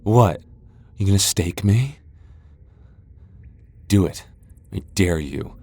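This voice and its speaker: A very deep-voiced, centuries-old male vampire lord, acted out by a man for a fantasy audio roleplay. He speaks with haughty, sardonic disdain. His delivery is theatrical and taunting, that of a proud immortal who looks down on his listener. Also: lively intonation, slow pace, vocal fry, warm tone, breathy edge, full character voice. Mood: indignant, defiant. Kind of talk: ASMR fantasy roleplay acting.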